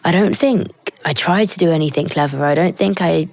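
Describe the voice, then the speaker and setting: Young woman poet, soft-spoken and reflective, low register, dry recording. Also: vocal fry, dry recording. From Britain. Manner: reflective, measured, thinks aloud. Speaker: poet guest. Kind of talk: conversational interview.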